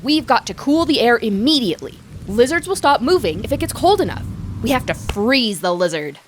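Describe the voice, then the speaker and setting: A woman's voice: fairly high pitch, sing-song, a little fast. A woman playing a keen, take-charge lizard researcher in an audio drama. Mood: urgent. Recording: clear background noise.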